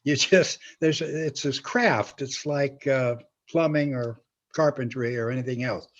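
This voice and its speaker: An older man chatting casually as an interview guest, speaking haltingly with small chuckles. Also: mid-range voice. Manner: halting, chuckles while talking. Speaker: guest, comedy writer and teacher. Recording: slightly dull lo-fi sound.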